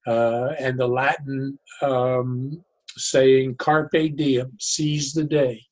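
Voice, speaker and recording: An older man speaking as an interview guest, telling stories conversationally in a reflective, halting way with pauses mid-phrase. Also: medium pitch, flat delivery, darkish tone, poor audio. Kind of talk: conversational storytelling.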